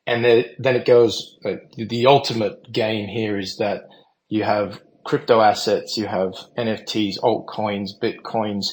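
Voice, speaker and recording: Low-voiced man explaining with assurance. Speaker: panel guest, company founder. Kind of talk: online audio panel discussion.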